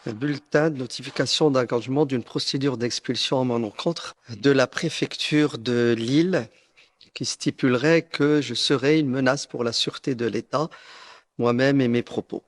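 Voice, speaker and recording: This is a man. His voice sits at a middle pitch. He delivers a prepared statement formally and deliberately, with a solemn bearing throughout. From northern France. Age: late 50s. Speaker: preacher making a personal statement.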